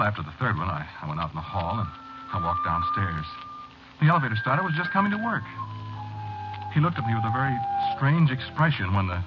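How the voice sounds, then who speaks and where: A man's voice: mid-range voice, natural voice. Man acting a rattled, haunted radio-drama narrator. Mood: rueful. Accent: American. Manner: halting, repeating himself, confiding. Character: haunted, rattled businessman telling his own eerie story. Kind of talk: 1940s radio acting, first-person horror narration. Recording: muffled, noisy old-time radio recording.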